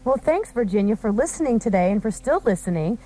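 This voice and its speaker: A woman's voice: on the high side, big pitch swings, a little fast, mellow. A woman hosting a live radio show from a studio, chatting casually with callers. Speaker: radio host.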